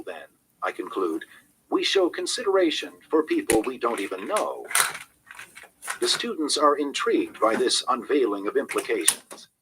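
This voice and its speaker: Male narrator, crisp forward tone, animated scripted nonfiction read, faint noise on the recording. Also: medium pitch, low-quality recording. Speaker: audiobook narrator.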